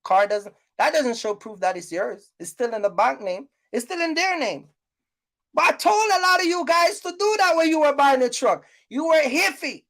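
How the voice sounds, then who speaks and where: High-voiced woman, blunt and emphatic. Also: expressive, normal speed, fairly bright tone. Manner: blunt, scolding, emphatic and repetitive. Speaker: YouTube host giving trucking business advice. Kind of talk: casual unscripted rant to viewers.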